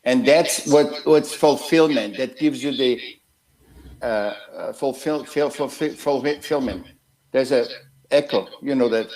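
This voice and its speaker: A man, a guest artist heard over a video call, wanders through his thoughts. His sentences stop and restart, and he circles back to find a word. His voice sits at an ordinary middle pitch for a man. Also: darkish tone, low-quality recording. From Austria. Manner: rambling, halting, with many restarts. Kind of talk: casual interview talk.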